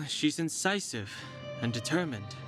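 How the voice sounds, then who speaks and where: An actor voicing a calm, analytical young man in a video game, measured and dry. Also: fairly high pitch. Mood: reasoning. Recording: game audio with clear music behind.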